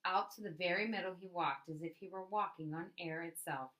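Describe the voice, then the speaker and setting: Low-pitched woman reading a storybook aloud. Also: brisk, a bit bright. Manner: dramatic emphasis on key words. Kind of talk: expressive picture-book narration.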